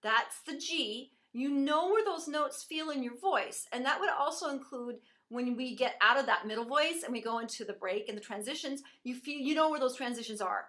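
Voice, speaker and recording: A woman voice teacher giving an encouraging singing lesson, explaining pitch and resonance as she demonstrates. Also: a bit bright.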